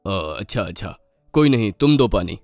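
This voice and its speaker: A man's voice: on the low side, big pitch swings, normal speed, dry recording, faint music behind. A man playing a village landowner and farm boss in a cartoon moral story. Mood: casual, commanding.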